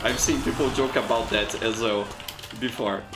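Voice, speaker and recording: A man's voice: on the low side, fairly even intonation, moderate pace, noisy background. A man from Brazil with a Brazilian accent, whose first language is Portuguese, chatting casually with viewers on a live gaming stream. He talks in a chatty, encouraging way and often repeats words as he goes. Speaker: live streamer playing a shooter game. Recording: roomy echo with game music behind.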